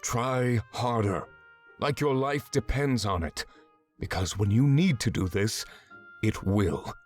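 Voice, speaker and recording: An actor playing a stern, exacting swordsman mentor in a fantasy visual novel game, giving measured, commanding instruction. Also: medium pitch, lightly acted. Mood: stern, impatient. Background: background music.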